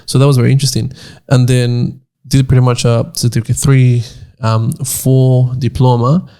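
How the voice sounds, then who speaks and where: Gravelly-voiced man, from Nicaragua. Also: medium pitch, flat delivery, moderate pace, dark tone. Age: 20s. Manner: rambling, repeats words while searching for them. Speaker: podcast co-host. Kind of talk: casual chat.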